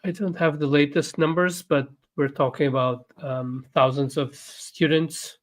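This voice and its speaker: A man's voice: a little high, fairly even intonation, unhurried. A man whose first language is Portuguese, co-hosting a casual livestream and talking hesitantly with many fillers. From Portuguese-speaking country. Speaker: co-host, runs online academy.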